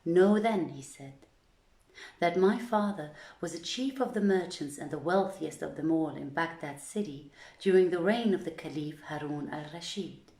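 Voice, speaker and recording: A woman reading an old literary tale aloud in a deliberate, theatrical storytelling manner with measured phrasing. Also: deep voice, a little mellow. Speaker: storyteller, reader. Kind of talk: dramatic scripted storytelling, archaic literary narration. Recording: roomy, echoing voice recording.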